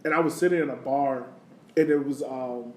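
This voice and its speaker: A man's voice: medium pitch, normal speed. An American man hosting a casual sports podcast, chatting informally with plenty of slang. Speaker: podcast host. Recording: roomy echo, background noise.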